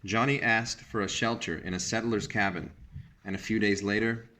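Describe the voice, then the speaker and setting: Low-voiced man reading a storybook. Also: steady tone, moderate pace, pushed and bright, a little hiss or hum. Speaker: teacher reading a picture book aloud. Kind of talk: storybook read-aloud with brief asides.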